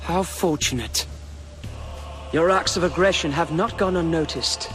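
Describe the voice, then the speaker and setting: A high-voiced, stern nobleman. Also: a bit bright, soft background music. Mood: stern, accusing. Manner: formal, authoritative, measured. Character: dignified young male nobleman, a stern, composed marquis. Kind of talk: dramatic video game acting.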